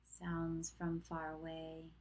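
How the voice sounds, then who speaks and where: A woman leads a guided meditation with a slightly low, even voice. She speaks very slowly and softly husky, leaving long pauses between short, calm instructions, as a yoga teacher would. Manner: calm, slow, gentle cueing with long pauses.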